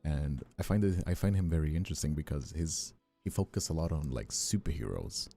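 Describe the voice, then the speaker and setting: Very low, creaky young man, rambling casually. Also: lively intonation, fairly quick, dark tone, faint music behind. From Brazil. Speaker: ASMR voice actor and streamer. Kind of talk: casual chat.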